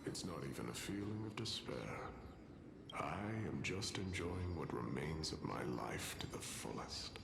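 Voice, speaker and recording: An actor playing a weary noble warrior in a video game, at peace with death, speaking in a grand, measured storytelling manner. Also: male voice, a bass voice, sing-song, gravelly, background noise, exaggerated voice. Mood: calm resolve. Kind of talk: dramatic video game acting. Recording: echoey, music underneath.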